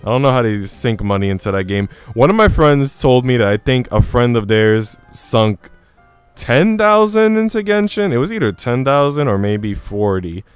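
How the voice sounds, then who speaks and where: A man's voice: mid-range voice, sing-song, moderate pace, clear, resonant tone, dry recording, soft background music. A man livestreaming a game, talking casually with informal slang and reading story text aloud. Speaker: game streamer.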